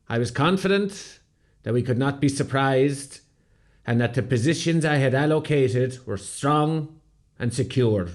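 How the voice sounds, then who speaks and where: An actor playing a resolute rebel commander, giving grave, measured narration for a radio play. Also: male voice, mid-range voice, darkish tone, close to an everyday voice. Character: resolute, solemn Irish rebel commander recounting battle. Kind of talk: solemn scripted first-person narration.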